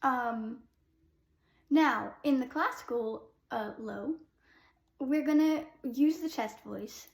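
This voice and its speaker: A young woman who sings soprano, teaching a casual singing lesson. She explains with short sung demos and fills pauses with "um.". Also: high-pitched, echoey room. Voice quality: soprano speaking voice.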